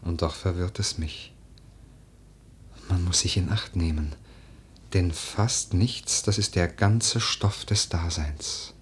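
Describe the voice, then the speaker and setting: An actor playing a brooding, eloquent, lordly widower in a literary radio play, with lyrical, deliberate, declamatory delivery. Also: male voice, low-pitched, slight background noise. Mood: wondering, pensive. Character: brooding, eloquent widower, poetic and lordly, drawn to a young woman.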